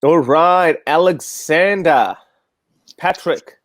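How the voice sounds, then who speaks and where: A man hosting a call-in show, giving casual, blunt and scornful commentary in an animated way. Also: fairly high pitch, slow.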